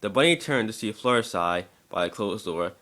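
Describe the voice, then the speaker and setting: A man's voice: fairly low pitch, expressive, moderate pace, a bit bright. A man narrating a story as an amateur dramatic reader, occasionally stumbling over the text.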